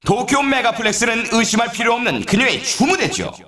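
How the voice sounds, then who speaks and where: A high-pitched, showy snowboard game announcer. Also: male voice, very animated, fairly quick, pushed and bright, echoey room, exaggerated voice. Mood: excited, anticipating. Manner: emphatic, showy broadcast delivery. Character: excitable male snowboard race announcer in a video game. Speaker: sports announcer. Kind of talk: scripted sports commentary for a snowboard video game.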